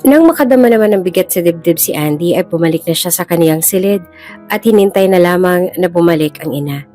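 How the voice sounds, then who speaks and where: A woman's voice: on the low side, lively intonation, a little fast, mellow. Filipino woman narrating drama over music. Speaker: storyteller, channel host. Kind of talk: scripted dramatic story narration. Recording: music bed behind the voice.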